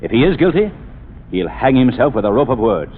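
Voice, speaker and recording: An actor playing a calm, shrewd gentleman detective in a 1930s radio drama, measured and commanding. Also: mid-range voice, phone-quality sound, a little hiss or hum, lightly acted.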